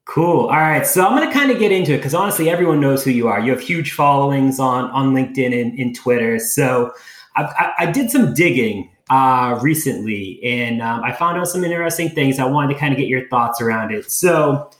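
A man's voice: medium pitch, lively intonation, brisk. A man hosting a podcast interview in an upbeat way, stumbling over restarts. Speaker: podcast host. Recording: echoey room.

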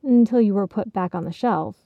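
A woman voicing a gentle, welcoming male pretzel gift basket in a story, soothing and patient. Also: medium pitch, normal speed, dry recording, dull audio, lightly acted. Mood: consoling.

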